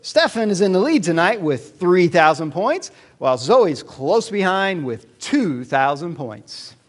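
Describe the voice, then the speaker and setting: Man playing lively kids' quiz show host. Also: high voice, unhurried, heavily acted. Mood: upbeat, announcing. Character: upbeat children's Bible quiz show host. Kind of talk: lively game show hosting.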